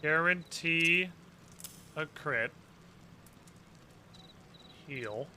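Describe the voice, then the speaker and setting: Bright-voiced man casually streaming a game. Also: on the high side, drawn-out words, a little hiss or hum. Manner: casual swearing, muttering to himself. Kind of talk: casual live game commentary to an audience.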